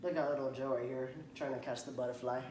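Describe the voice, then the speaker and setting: A man's voice: medium pitch, steady tone. Man reading a picture book to a child. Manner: pauses to point at pictures and ask questions; clears throat often. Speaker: teacher reading aloud. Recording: echoey room, faint background noise.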